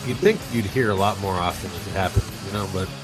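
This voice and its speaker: A man's voice: deep voice, fast talker, over music, rough recording. A man co-hosting a podcast, chatting casually about an old TV show and acting out its scenes with laughs and restarts. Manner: animated, laughs and restarts often, acts out scenes. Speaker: podcast co-host. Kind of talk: casual chat.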